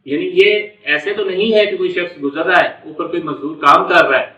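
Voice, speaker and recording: A man's voice: on the high side, a little fast, bright, forceful tone. A man with a Pakistani accent giving an explanatory law lecture, repeating and rephrasing step by step and mixing in English legal terms. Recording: echoey room, distant dull mic.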